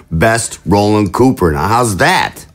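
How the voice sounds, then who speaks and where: A man's voice: fairly low pitch, animated, slow. A man playing a jovial, scheming political chief of staff in an audio drama, with a glib, jocular, theatrical manner. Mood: grandly pleased.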